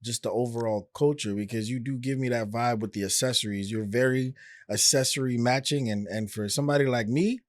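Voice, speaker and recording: A man's voice: medium pitch, fairly quick, no echo. A man hosting a podcast interview, asking questions and teasing his guest playfully. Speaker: podcast host. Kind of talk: casual interview chat.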